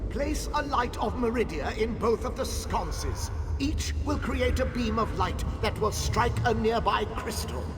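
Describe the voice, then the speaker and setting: A man voicing an imprisoned ancient elf king who gives formal, measured instructions in a video game. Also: very high-pitched. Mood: commanding. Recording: long hall reverb with steady noise.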